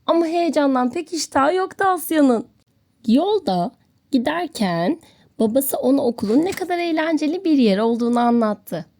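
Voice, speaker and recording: A woman narrating a children's story in an expressive, scripted storytelling style. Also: sits high, normal speed.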